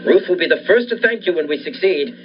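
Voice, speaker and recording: A man's voice: on the high side, expressive, fast, faint music behind, natural voice. A young man playing an obsessed, commanding scientist and surgeon in the style of a 1940s radio thriller. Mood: earnest, determined. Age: young adult. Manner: commanding, insistent. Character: obsessed scientist surgeon bent on reviving his dead wife. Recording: dull lo-fi audio, faint noise.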